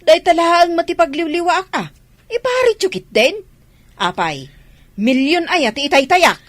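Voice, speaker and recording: A woman's voice: extremely high pitch, sing-song, normal speed, no room sound. A woman playing a nagging mother with a gambling habit who demands money, in Ilocano audio drama style.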